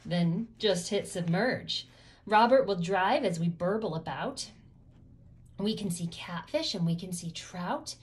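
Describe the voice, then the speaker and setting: A woman's voice: lowish voice, lively intonation, a little slow. A woman host reads a children's picture book aloud, following the rhyme with rhythmic, emphatic phrasing. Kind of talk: expressive rhyming storybook read-aloud for children.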